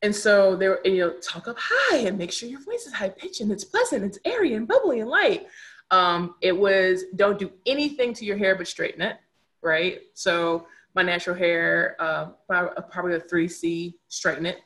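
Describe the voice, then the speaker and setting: A woman's voice: medium pitch, expressive, normal speed, fairly bright tone. A young adult woman speaking candidly as a podcast guest, earnest and reflective, repeating words for emphasis. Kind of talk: candid conversational storytelling.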